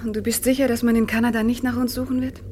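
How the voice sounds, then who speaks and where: An actress playing an anxious, adoring mistress on the run in a vintage radio crime drama. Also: female voice, a little high, flat delivery, fast, mellow, lightly acted. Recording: noisy old radio play recording.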